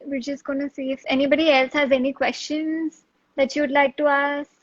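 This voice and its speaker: A woman's voice: high voice, level tone, moderate pace, no echo. A woman hosting casually and reading out audience questions, heard through dull lo-fi audio. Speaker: host.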